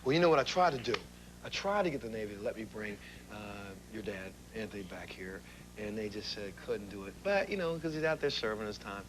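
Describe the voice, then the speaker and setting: Fast-talking male talk show host. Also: on the low side, very animated, noisy background.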